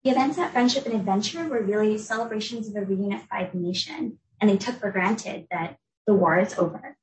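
Young woman, academic lecture, measured and clear, roomy echo, mic set back. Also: mid-range voice, a little hiss or hum. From United States. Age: young adult. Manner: clear, measured explanatory delivery. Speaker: researcher giving a talk. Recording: echoey room, voice from a distance.

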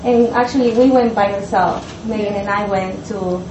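A woman student panelist speaking in halting, broken phrases. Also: medium pitch, muffled recording. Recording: echoey room, distant mic, noisy.

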